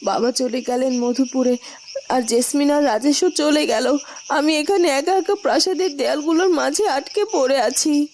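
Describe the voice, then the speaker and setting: A woman's voice: high voice, normal speed, a little mellow, a little hiss or hum. A woman voicing a lonely, resolute young royal heroine in a fairytale cartoon. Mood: sorrowful.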